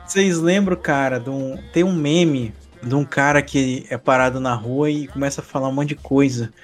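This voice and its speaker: A young man with a Rio de Janeiro accent, co-hosting a casual podcast chat and telling a story with animated energy. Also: mid-range voice, moderate pace, faint music behind. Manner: animated storyteller, sings a joke samba lines. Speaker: podcast co-host.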